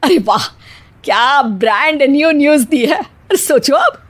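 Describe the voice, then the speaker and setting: A woman's voice: sits high, animated, a little slow. A woman voicing a bossy, bickering mother-in-law in a cartoon. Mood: scornful. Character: bossy middle-aged mother-in-law, scared of bugs. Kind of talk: cartoon voice acting.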